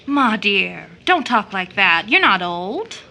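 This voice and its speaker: A woman's voice: mid-range voice, big pitch swings, slow pace, creaky, bright, forceful tone, full character voice. A woman playing an earnest, affectionate but troubled young daughter in an audio drama. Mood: pleading.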